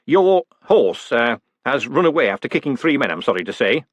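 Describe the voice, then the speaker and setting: A man's voice: medium pitch, sing-song, moderate pace, no echo. A man voicing a short, bird-like small-town mayor in an audiobook, nervous and eager, with folksy, deferential, colloquial talk.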